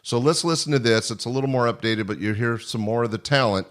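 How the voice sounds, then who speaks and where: A man's voice: medium pitch, fast talker. An older man hosting a conversational interview, reminiscing in a rambling way. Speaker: podcast host, former comedy club owner.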